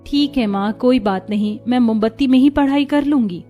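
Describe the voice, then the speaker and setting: A woman voicing a poor, gentle young college girl in a cartoon story, earnest and plaintive. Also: on the high side, normal speed, faint music behind, close to an everyday voice. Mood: caring. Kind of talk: Hindi cartoon moral story acting.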